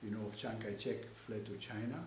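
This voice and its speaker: An elderly man in his seventies speaks with a Flemish accent and a low voice, as a native Dutch speaker. He tells history haltingly, often repeating his phrases. The room is echoey, with some hiss behind him. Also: steady tone. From Belgium. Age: 70s. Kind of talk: interview, telling personal and church history.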